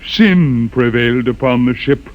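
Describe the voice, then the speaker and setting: A man's voice: mid-range voice, close to an everyday voice. An actor playing a stern, zealous old holy man who speaks in solemn incantation, in vintage radio drama style. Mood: grave, assured. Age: older. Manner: solemn, incantatory, slow measured recitation. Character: stern old holy witness, zealous guardian of dogma, corrupt. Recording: vintage radio recording, muffled, music behind.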